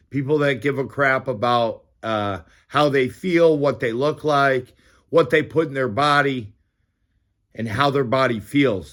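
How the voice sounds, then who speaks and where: A man's voice: on the high side, fairly bright tone. Casual, encouraging man in his fifties. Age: 50s. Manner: informal, blunt, encouraging, mild slangy swearing. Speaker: fitness vlogger. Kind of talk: casual motivational talk to camera during a morning workout.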